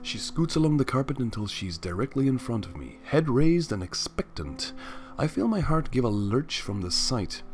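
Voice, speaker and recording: A man's voice: medium pitch, very animated, moderate pace, a little mellow, faint music behind. A man reading first-person fan fiction aloud as a dramatic narrator. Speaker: story narrator.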